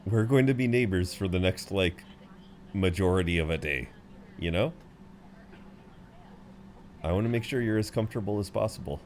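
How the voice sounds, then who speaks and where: A man's voice: deep voice, moderate pace, pauses often, darkish tone, a little hiss or hum, close to an everyday voice. A man playing a chatty, good-humoured frequent flyer who rambles kindly to a seatmate, in an intimate roleplay audio. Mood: concerned, gently flirty. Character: chatty, friendly tall male frequent flyer comforting seatmate. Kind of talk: intimate roleplay audio acting, one side of conversation.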